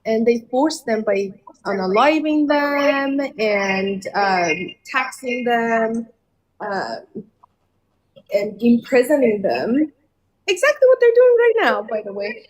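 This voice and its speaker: A woman from Iran calls into a live debate podcast. She speaks slowly and hesitantly, filling her pauses with "um", in a slightly high voice. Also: expressive, low-quality recording. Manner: hesitant, many pauses and fillers. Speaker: caller. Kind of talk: call-in debate.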